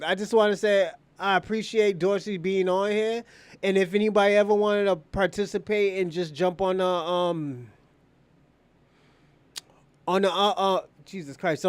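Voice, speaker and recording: Male livestream host, high-pitched, dry sound, opinionated, rambling, casual delivery. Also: measured pace, clear, resonant tone, dry recording. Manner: opinionated, rambling, repeats phrases. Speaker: live stream show host. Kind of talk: casual off-the-cuff livestream talk.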